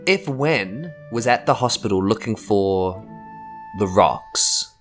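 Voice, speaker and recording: A man's voice: fairly low pitch, lively intonation, takes it slowly, fairly bright tone, faint music behind. A man with a New Zealand accent talking casually through plans as a tabletop game player. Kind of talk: casual chat, in-game discussion.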